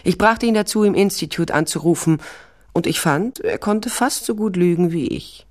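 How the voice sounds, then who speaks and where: An actress playing a determined, wry private investigator, narrating a crime drama in the first person, dry and matter-of-fact. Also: female voice, lowish voice, normal speed, natural voice. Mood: tense, matter-of-fact. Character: resolute, wry female private investigator narrating her case.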